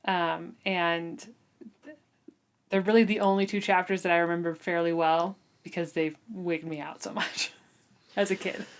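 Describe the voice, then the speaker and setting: A woman's voice: fairly low pitch, steady tone, moderate pace. Woman, 30s, podcast host, informal book-club chat, conversational delivery with lots of "like". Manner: conversational, uses "like" often. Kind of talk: casual book discussion.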